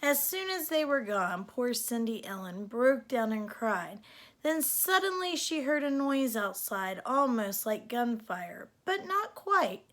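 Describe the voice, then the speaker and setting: A woman reading a children's storybook aloud in an expressive storytelling style. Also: fairly high pitch, slow pace. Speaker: storybook reader.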